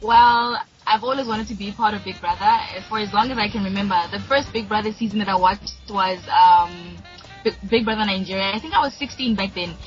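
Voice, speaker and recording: A woman's voice: mid-range voice, a little fast. A young woman in her twenties from Mozambique, a native Shangana speaker, talking eagerly and casually in an interview. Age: 20s. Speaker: reality show contestant, guest. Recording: dull lo-fi audio with faint music.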